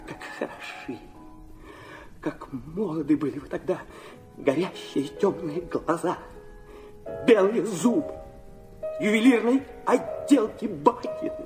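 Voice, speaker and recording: An actor playing an impassioned, wounded young illegitimate son in a Russian radio drama, speaking fervently and haltingly. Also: male voice, high-pitched, huge pitch swings, full character voice. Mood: wistful. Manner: fervent, halting, breaking into repetitions. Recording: orchestral violin music behind the voice.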